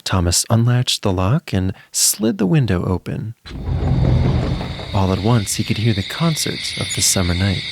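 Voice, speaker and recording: A man's voice: fairly low pitch, animated, measured pace, gravelly, heavy background noise. A man narrating a spooky children's story from a script in a dramatic, suspenseful way.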